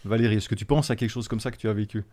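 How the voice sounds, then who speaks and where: A man's voice: lowish voice, very fast, dark tone. A man hosting a Bible study talk show, hesitant with frequent fillers. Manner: hesitant, many fillers and restarts. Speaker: host.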